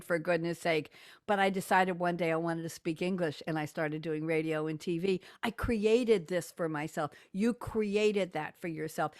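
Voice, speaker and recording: A woman's voice: lowish voice, dry recording, clean studio sound. A woman radio and TV host interviewing guests over a video call, talking in an effusive, enthusiastic, chatty way.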